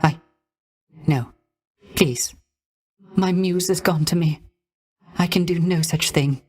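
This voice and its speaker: A gentle, sorrow-tinged male musician from a video game. The voice is light and rather high for a man, delivered softly and with genteel care. Every phrase is formal, theatrical and ornately polite, carrying a wistful devotion. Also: takes it slowly, husky. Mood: mournful, firm. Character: gentle, melancholy court musician, devoted and courteous.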